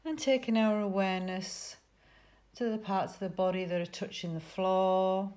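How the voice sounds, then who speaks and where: A woman teaching yoga nidra, giving soothing, unhurried guided relaxation instructions with pauses between phrases. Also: mid-range voice, steady tone, a little mellow.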